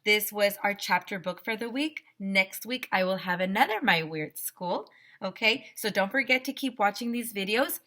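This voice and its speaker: Woman, teacher, children's read-aloud, bright forward voice, medium pitch, expressive, speaking directly to kids. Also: moderate pace. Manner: addresses young listeners directly.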